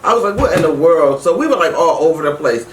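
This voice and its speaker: A middle-aged woman telling a personal story casually to her viewers, emphatic and animated, acting out the dialogue as she goes. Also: deep voice, fast talker. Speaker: vlog host. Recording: roomy, distant mic with faint noise.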